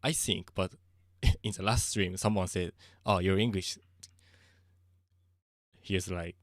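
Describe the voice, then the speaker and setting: A man with a Japanese accent, chatting casually on a livestream, halting and searching for words with frequent soft laughs. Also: low voice, fairly even intonation, no echo, clean studio sound. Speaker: streamer.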